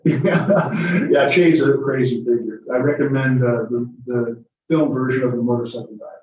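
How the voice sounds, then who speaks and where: Chatty lecturer, mellow, in an echoey room. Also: male voice, medium pitch, fairly even intonation, moderate pace, slight background noise. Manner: chatty, digressive, chuckling. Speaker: guest lecturer. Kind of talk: informal Q&A with audience. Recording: echoey, muffled room from a distance.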